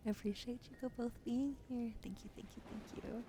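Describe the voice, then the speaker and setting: A woman's voice: on the high side, fairly even intonation, brisk, background noise. A young woman streamer with a wheezy throat, whispering in ASMR style, thanking viewers and swearing casually.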